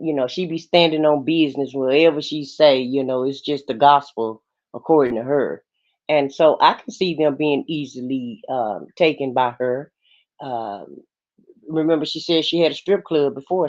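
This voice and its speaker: A woman's voice: rumbling low, moderate pace, a little mellow. A woman talking in a colloquial, opinionated way in casual livestream gossip commentary. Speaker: co-host of a livestream. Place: livestream call. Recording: muffled lo-fi livestream audio.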